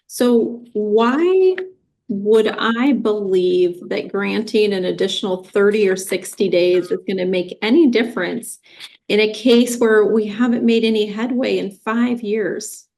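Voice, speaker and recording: A woman with an American accent speaks from the bench as a judge. Her voice is of medium pitch. She is formal and measured, explaining rulings firmly in unscripted courtroom speech. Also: a little mellow, low-quality recording.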